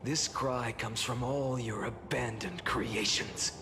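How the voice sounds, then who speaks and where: An actor playing a weary, defiant fallen hero in a video game, solemn and grandiose with bitter scorn. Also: male voice, medium pitch, steady tone, slow. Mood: bitter contempt. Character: weary, defiant male fallen hero burdened by countless lifetimes. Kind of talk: dramatic scripted video game acting. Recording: loud music behind the voice. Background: game soundtrack music.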